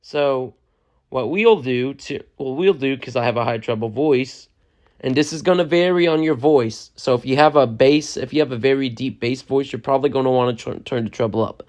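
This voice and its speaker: A young man, a music producer, giving a loose, chatty step-by-step software lesson and often checking in with the listener. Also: medium pitch, normal speed. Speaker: music producer teaching a tutorial. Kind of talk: casual step-by-step software tutorial.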